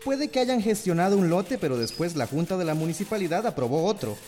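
A man's voice: sits high, sing-song, brisk, darkish tone. An actor playing a brusque, defensive, evasive male engineer in a radio soap opera. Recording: reverberant hall-like radio drama sound.

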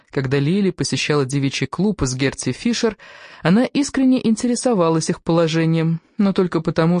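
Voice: medium pitch, a little mellow, no echo, studio quality. A narrator reading literary fiction from a script, acting out the dialogue. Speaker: audiobook narrator.

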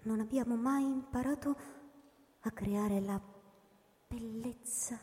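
An eerie immortal alien in a radio play. The voice is high and mellow, speaking very deliberately and formally, with slow insistence in a reverberant hall. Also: female voice. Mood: solemn wonder. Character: immortal alien explaining its race, patient and eerie. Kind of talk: theatrical radio drama recitation.

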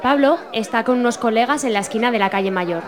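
High-pitched Spanish woman narrator. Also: fast talker. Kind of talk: scripted radio drama narration. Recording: narration over crowd noise.

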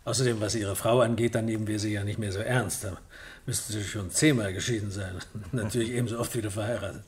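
An actor playing an impatient, sceptical police inspector who questions probingly in a radio drama. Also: male voice, on the low side, fairly even intonation, fast, slightly rough. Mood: wry.

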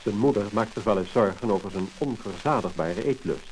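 A faintly crackling mid-century record features a man narrating in Netherlands Dutch. His voice is fairly low, and his scripted delivery is formal and measured. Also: a little mellow. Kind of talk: 1950s scripted documentary-style narration.

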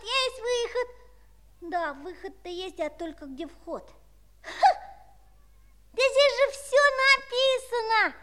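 Cheeky squeaky little boy. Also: a bit bright, heavily acted. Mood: excited. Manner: pert, whining, boastful. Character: Nulik, a cheeky hot-headed little boy, a living zero. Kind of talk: children's audio play acting.

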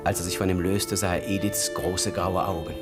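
A man playing the grave storyteller of a radio drama, narrating in a measured, expressive literary style. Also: deep voice, loud music behind the voice, lightly acted. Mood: solemn, lyrical.

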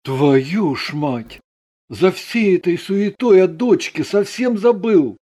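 Man playing gruff, high-voiced storybook husband. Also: animated, takes it slowly, warm tone, full character voice. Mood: startled. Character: Andrey Ganin, gruff, wry, steady husband and father.

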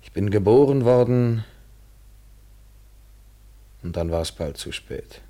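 An actor playing a cynical yet tender, evasive lover in a literary German radio play, speaking with measured irony and a husky voice. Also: male voice, on the low side, expressive, warm tone. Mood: wry, reflective. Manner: measured, ironic, articulate.

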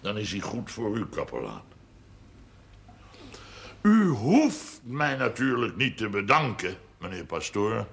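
TV drama role: pompous, scheming farmer, forceful gravelly delivery, wordy and formal. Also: male voice, on the low side, wildly swooping pitch, takes it slowly, long pauses, heavily acted. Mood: smug. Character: shrewd, self-important wealthy farmer making pious bargains. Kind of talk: television drama acting.

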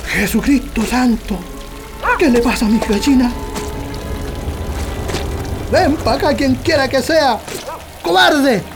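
A Chilean country father in a radio drama, frightened yet defiant. The voice is very high and urgent, full of commands and exclamations. Dramatic music plays clearly behind it. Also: takes it slowly, pauses often, full character voice. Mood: angry, defiant. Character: frightened, defiant rural father guarding his family with a shotgun.

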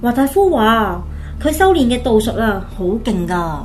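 A woman playing an earnest, gently chiding wife in a comic radio drama, with a Hong Kong Cantonese accent. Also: a little high, sing-song, moderate pace, soft background music. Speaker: actor in an amateur radio play.

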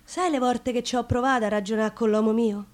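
A woman's voice: fairly high pitch, fairly even intonation, fairly quick, darkish tone, natural voice. An actress playing a wry, defiant Roman woman in a colloquial, ironic theatre monologue, with a Roman accent. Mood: wry, confiding.

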